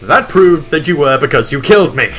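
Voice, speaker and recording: A stubborn detective, voiced by a man reading a story, makes smug accusations. He is declarative and bright-toned, with music softly underneath. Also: fairly high pitch, expressive, fairly quick. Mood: accusing.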